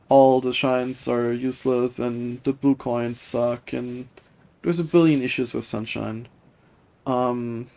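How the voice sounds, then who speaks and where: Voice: mid-range voice, a little mellow. A streamer chatting casually over live gameplay, talking in hesitant, rambling asides. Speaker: video game streamer.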